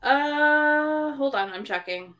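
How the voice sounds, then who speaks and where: A woman's voice: sits high, lively intonation, takes it slowly, pushed and bright. A woman hosting a podcast, chatting casually about a book and thinking aloud as she goes. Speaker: podcast host.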